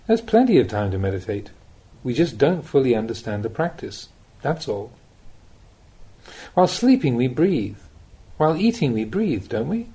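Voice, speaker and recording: A man reading a spiritual teaching aloud as an audiobook, with measured, deliberate phrasing and pauses. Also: medium pitch, sing-song, dark tone, slightly rough. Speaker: Buddhist monk reading recorded teachings. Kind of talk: audiobook reading of a Dhamma talk.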